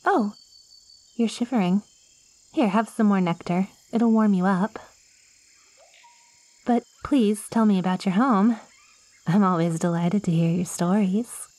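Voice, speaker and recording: A woman's voice: medium pitch, a little slow, long pauses, darkish tone, dry recording, lightly acted. A woman playing a lonely, possessive plant-girl monster in an ASMR roleplay, coaxing and teasing the listener in a theatrical way. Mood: sweet, coaxing. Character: lonely, possessive yandere plant girl, ancient yet girlish.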